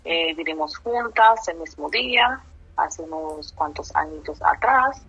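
Slow, low-voiced woman, muffled. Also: expressive, a bit bright, husky, soft background music. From Dominican Republic. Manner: slow, hesitant answers with fillers. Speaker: interviewee. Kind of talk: oral history interview. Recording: muffled, dull lo-fi audio.

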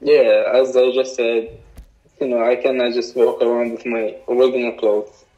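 A young man with an Arabic accent, a native Arabic speaker, answering interview questions in a halting way with many fillers. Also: medium pitch, little pitch movement, dark tone. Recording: dull lo-fi recording.

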